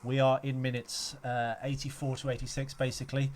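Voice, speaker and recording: A man's voice: mid-range voice, level tone, a little fast, darkish tone, a little hiss or hum. A man hosting a casual podcast over a remote call, joking and rambling with frequent hesitations. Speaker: podcast host. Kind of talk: casual film-breakdown chat.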